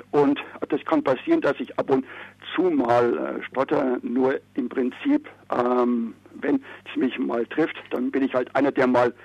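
A man's voice: mid-range voice, vocal fry, no echo. Male caller on a dull, dry phone line. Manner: calm, candid, slight hesitations. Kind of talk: phone-in call.

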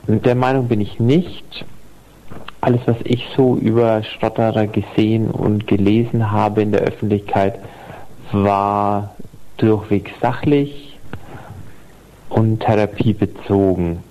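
A man giving his opinion as a listener contributor, thoughtful and halting with many fillers. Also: on the low side, fairly even intonation, mellow. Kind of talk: recorded listener statement. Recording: dull lo-fi home recording.

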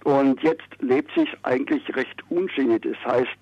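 A man calls in to a radio program, and his voice comes through as dull and dry phone-in audio. He talks calmly and candidly, with small hesitations between his thoughts. His pitch is in an ordinary middle range for a man. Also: a little slow, dry recording. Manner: calm, candid, slight hesitations. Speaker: caller. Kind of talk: phone-in call.

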